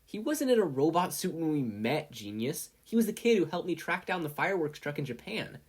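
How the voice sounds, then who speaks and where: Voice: mid-range voice, big pitch swings, a little fast, husky, pitched above the performer's own voice. A narrator voicing a bold, sarcastic young woman with an emphatic, wry way of retorting.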